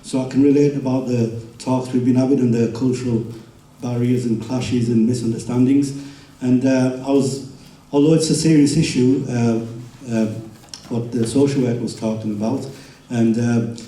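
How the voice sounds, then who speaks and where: A middle-aged man from Kenya giving a relaxed, informal talk at a community event, with frequent ums and uhs. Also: mid-range voice, moderate pace, reverberant, far from the mic, low-quality recording. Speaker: community speaker.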